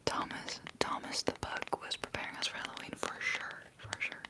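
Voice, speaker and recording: A woman's voice: normal speed, sharp tone. A Dutch woman with an American-sounding accent in English, whispering breathily in a casual, chatty, playful way as she hosts an ASMR livestream and answers chat. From Netherlands. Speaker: livestream host, ASMR creator.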